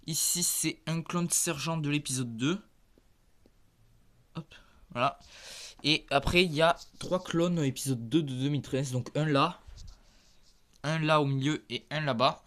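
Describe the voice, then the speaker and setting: A man with a head cold giving a halting, filler-heavy show-and-tell of his toy collection, filmed on a phone in a converted attic. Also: a little high, fairly bright tone. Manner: halting, filler-heavy, points things out one by one. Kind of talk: casual show-and-tell narration. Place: converted attic room with creaky floor. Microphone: phone camera held in hand.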